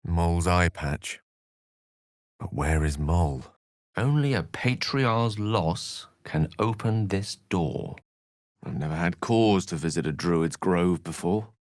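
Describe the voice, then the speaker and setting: A man's voice: low voice, lively intonation, slow, dry recording, clean studio sound. A man voicing an eloquent, bookish wizard in a video game, articulate and theatrical, with ornate scholarly phrasing and a wry streak. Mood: musing, observant. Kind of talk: scripted video game character dialogue.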